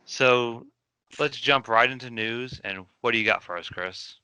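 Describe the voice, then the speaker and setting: A man's voice: medium pitch, measured pace, sharp tone, clear, resonant tone, dry recording, rough recording. A man hosting a casual podcast, opening the show and asking his co-hosts questions. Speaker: podcast host.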